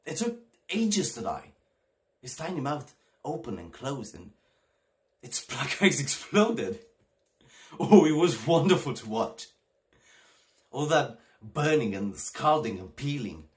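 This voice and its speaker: A man's voice: mid-range voice, voice pushed up. A man acting a disturbed, childlike young man, volatile. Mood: gleeful, morbid. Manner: halting, repeating words, swinging between hushed and excited. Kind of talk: theatrical audition monologue.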